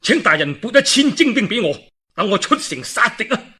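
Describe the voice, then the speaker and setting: A brave, loyal male warrior, acted by a man telling the tale, speaks with a Cantonese accent. The voice is forceful and swoops widely, with a husky edge. He is urgent, forthright and respectful, in the manner of a historical storybook hero. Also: high-pitched, big pitch swings, normal speed, pitched above the performer's own voice, exaggerated voice. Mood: urgent, determined. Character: brave, earnest young warrior, loyal and bold.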